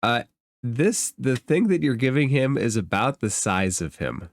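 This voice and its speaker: A man narrating a tabletop game as game master in a halting, informal, conversational way. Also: mid-range voice, big pitch swings.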